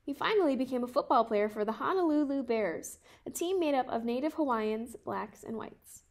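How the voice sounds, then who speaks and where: A woman in her twenties reading a children's picture book aloud in a careful, measured way. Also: high-pitched, lively intonation. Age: 20s. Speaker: storybook reader.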